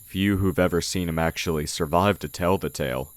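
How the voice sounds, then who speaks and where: A man playing a sly oni who poses as a humble village blacksmith in an audio roleplay, talking in a measured, condescending way. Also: sits low, no room sound, lightly acted. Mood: grim. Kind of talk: audio roleplay, intimate character acting. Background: night forest ambience with crickets.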